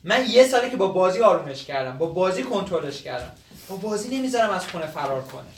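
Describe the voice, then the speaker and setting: An actor playing a playful, patient young man who looks after an old man, animated and teasing, in a naturalistic drama. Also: sits high. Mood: alarmed. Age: young adult. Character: playful, patient young male caregiver and son-in-law-to-be. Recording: echoey, noisy room, distant mic.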